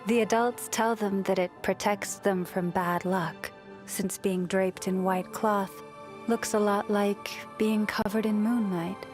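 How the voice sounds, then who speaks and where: A woman acting as a gentle, devout young priestess of the moon from a video game. She speaks slowly and softly at a medium pitch, measured and reflective, with breath trailing at the ends of her phrases. Music plays softly behind her. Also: fairly even intonation, close to an everyday voice. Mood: serene, gentle. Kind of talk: scripted video game character voice lines.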